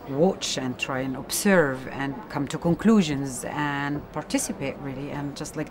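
A woman actress answering interview questions in a reflective, run-on way. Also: low-pitched. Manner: reflective, running on with "try and".

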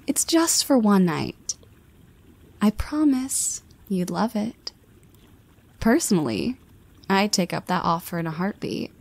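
Teasing young woman crush, slow drawl, gravelly fry, breathy whispered asides. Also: mid-range voice, a little mellow. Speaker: voice actress. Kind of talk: scripted audio roleplay.

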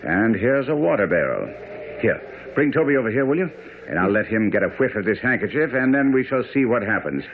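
A sharp confident detective in an old radio play, really lively swings, thin phone-like sound. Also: male voice, medium pitch. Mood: eager. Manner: brisk, incisive, lecturing. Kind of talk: radio drama acting. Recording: phone-like, faintly noisy old radio broadcast.

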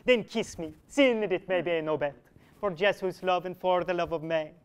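A man's voice: high voice, animated, fairly bright tone, clean tone. A man doing a lovesick foppish young clerk. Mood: pleading. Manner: pleading, drawn-out sweet talk.

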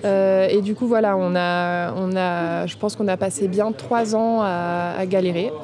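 A woman's voice: medium pitch, steady tone, a little slow, a crowd in the background. A young woman, co-manager of a restaurant, talking in a casual radio interview on an outdoor terrace, with many fillers and restarts. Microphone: table mic on stand.

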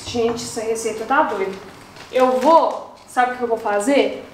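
Chatty high-voiced Mineira woman cooking. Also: unhurried, roomy sound, slight background noise, low-quality recording. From Brazil. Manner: exasperated, chatty, talks to viewers while cooking. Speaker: channel host. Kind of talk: casual cooking vlog chat. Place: home kitchen, indoors.

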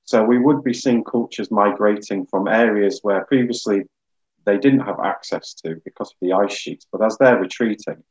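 Low-voiced man on a muffled video call. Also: a little mellow. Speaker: guest geologist. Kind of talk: informal scientific explanation in a webinar. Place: small plain room indoors.

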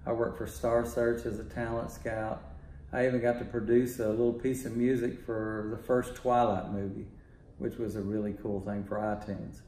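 An older man from Texas, a music producer talking in a relaxed, rambling way full of fillers, in his home studio. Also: mid-range voice, moderate pace, reverberant, a little hiss or hum. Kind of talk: casual interview talk.

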